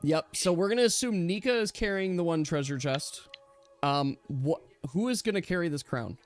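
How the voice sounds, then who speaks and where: Slightly high-voiced man running a game. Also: animated, moderate pace, smooth, faint music behind. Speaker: game master. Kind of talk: casual tabletop game narration. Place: online voice call.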